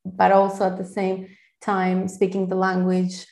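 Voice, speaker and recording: A woman whose first language is Greek talks quickly and eagerly, stumbling and restarting as she goes. Her voice sits mid-range and rings with echo from the room around her. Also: mid-range voice, completely flat delivery, vocal fry, darkish tone. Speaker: guest, founder of a counselling service. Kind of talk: podcast interview.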